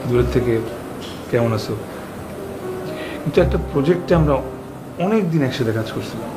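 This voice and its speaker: An actor playing an awkward, polite former sweetheart visiting as a guest in a TV drama, hesitant and courteous, with a Bangladeshi Bengali accent. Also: male voice, medium pitch, expressive, moderate pace, lightly acted. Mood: awkward, evasive. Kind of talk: TV drama dialogue. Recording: echoey room, music underneath.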